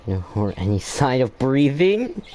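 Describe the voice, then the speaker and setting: A man's voice: mid-range voice, very animated, a little slow, warm tone. A man giving casual commentary while playing through a game's story quest, thinking aloud and often trailing off and restarting his sentences. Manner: laid-back, reacts with short exclamations. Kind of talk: casual let's-play commentary.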